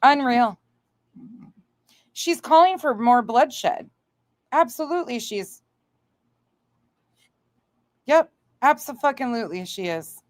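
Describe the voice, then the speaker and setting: A woman's voice: fairly high pitch, animated, slow, pauses often, no echo. A woman hosting a livestream, reacting and chatting to viewers in a blunt, indignant, sweary way. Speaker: livestream host.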